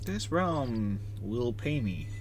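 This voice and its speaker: A man's voice: medium pitch, big pitch swings, slow, faint music behind. A man live-streaming a game, chatting casually and thinking aloud in a rambling way. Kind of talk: casual livestream gameplay chat.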